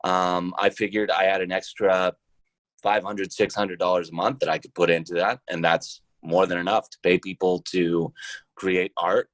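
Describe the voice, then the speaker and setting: A man speaking casually as an interview guest, pausing often to think as he goes. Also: low-pitched, a bit bright, no echo. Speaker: podcast guest, role-playing show founder and trucker.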